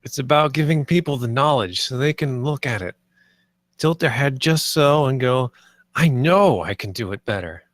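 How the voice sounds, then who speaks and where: A man's voice: mid-range voice, lively intonation, normal speed, no room sound, clean studio sound, lightly acted. A man playing an earnest, idealistic theme park designer in a screenplay table read, with a speechlike delivery. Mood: earnest, visionary.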